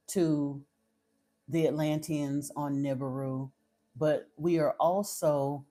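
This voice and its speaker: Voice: mid-range voice, dark tone. A livestream host from Florida giving spiritual advice in casual chat, direct and at times blunt, with frequent fillers and restarts. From Florida, United States. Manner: chatty and direct, turns blunt and stern. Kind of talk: casual livestream chat answering viewer comments.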